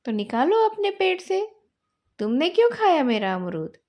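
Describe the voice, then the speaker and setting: A proud little boy with a high, lively voice, acted by a woman narrator. He is petulant and defiant, bargaining and quarrelling in storybook dialogue. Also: normal speed. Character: proud, wriggly young boy, a policeman's son, arguing.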